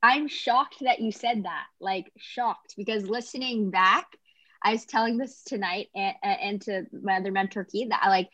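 A woman's voice: mid-range voice, moderate pace, sharp tone, no echo, lo-fi sound. Chatty young Canadian woman, dry sound. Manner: chatty, lots of "like" fillers. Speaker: interviewee. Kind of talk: casual conversational interview.

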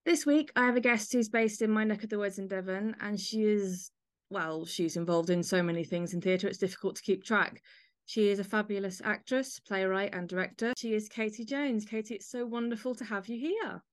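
A woman's voice: mid-range voice, fairly quick. A woman hosting a podcast, introducing and interviewing in a conversational style.

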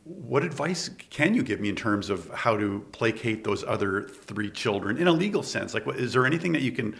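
A man hosts a local interview at a studio table. His voice carries a gravelly, creaky edge. He talks in a chatty way, thinking aloud with little asides. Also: medium pitch, sing-song, fairly quick, a bit bright. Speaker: podcast host. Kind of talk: casual interview.

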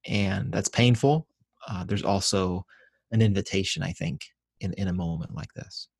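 A man who grew up in Venezuela and Ecuador, a pastor and author speaking as a guest in a podcast interview in an earnest, measured, reflective way. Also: low-pitched, vocal fry, a little mellow, breathy edge, no room sound.

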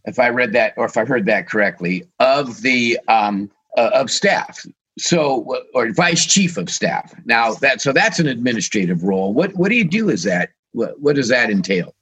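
A man co-hosting a radio talk show, losing his voice and asking halting questions full of fillers. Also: medium pitch, lively intonation, a bit bright, slightly rough.

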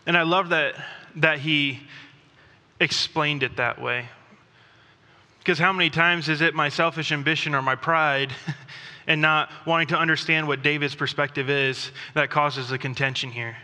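A man who grew up in Thailand, preaching a sermon in a conversational, self-deprecating way with pauses and restarts. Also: a little high, fairly even intonation, bright, forceful tone. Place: church sanctuary. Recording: long reverb of a church hall.

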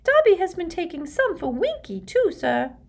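Lady doing a squeaky little male elf servant, super high, eager and grovelling, audiobook style. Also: big pitch swings, normal speed, creaky, full character voice. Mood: eager, adoring. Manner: deferential, speaks of himself in third person.

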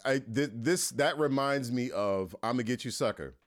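A man hosting a podcast interview with a Philadelphia accent, chatting excitedly, laughing often and slipping into goofy voices. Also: medium pitch, normal speed, very noisy. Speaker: podcast host. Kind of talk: casual interview chat.

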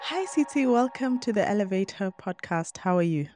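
A woman's voice: mid-range voice, animated, normal speed, loud music behind the voice. A woman in her thirties hosting a podcast, enthusiastic and affirming, asking long questions with several parts. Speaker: podcast host. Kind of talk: friendly interview hosting.

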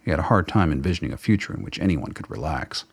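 Very deep-voiced male narrator, slightly gravelly. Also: lively intonation, fast. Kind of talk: scripted dramatic narration.

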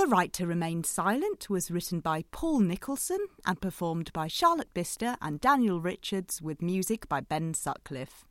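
A woman's voice: lowish voice, dry recording, clean studio sound. A woman giving a scripted narration in the manner of closing credits.

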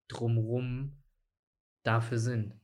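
A man's voice: mid-range voice, level tone, drawn-out words, a little mellow. Slangy thirty-year-old man chatting. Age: 30s. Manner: chatty, tag questions, colloquial slang. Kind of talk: casual chat.

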